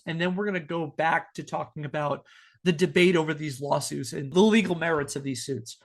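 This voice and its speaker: Guy podcast host, voice a bit on the high side, explaining stuff like a prepared lesson.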